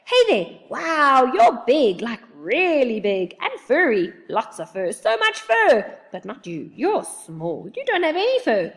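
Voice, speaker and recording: A woman voicing a hyperactive young female jackalope in a storybook, firing off rapid, repetitive questions. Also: high voice, very animated, a bit bright, voice pushed up, full character voice. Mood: excited, curious.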